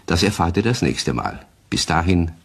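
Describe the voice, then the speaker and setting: A husky storyteller narrates a children's radio play. His voice sits very low yet moves with lively, expressive swings, speaking straight to young listeners. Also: normal speed, exaggerated voice. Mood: animated, wry. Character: male storyteller addressing children.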